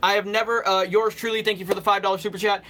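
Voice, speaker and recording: Bright-voiced man with a slight Southern accent. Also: sits high, flat delivery, fast talker. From Alabama, US South. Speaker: livestream host. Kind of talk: casual live chat with commenters.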